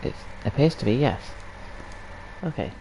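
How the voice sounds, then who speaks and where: A man with a cold, hoarse and phlegmy, rambling through casual gameplay commentary and clearing his throat now and then. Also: medium pitch, lively intonation, normal speed, dark tone, slight background noise, low-quality recording. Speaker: gaming channel host. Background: video game music.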